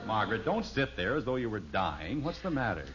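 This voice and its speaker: Exasperated, blustering sitcom dad. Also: male voice, lowish voice, big pitch swings, a little fast, a bit bright, husky, exaggerated voice. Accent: American. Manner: lecturing, commanding, speechifying. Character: exasperated, strict, blustering suburban father, middle-aged. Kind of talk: 1950s radio sitcom acting. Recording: muffled vintage radio recording.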